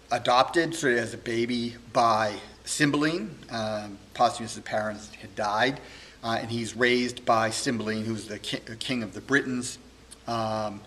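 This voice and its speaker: A man giving an informal spoken introduction as a presenter, halting with frequent ums and pauses. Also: mid-range voice, fairly bright tone. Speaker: librarian presenting a poetry reading.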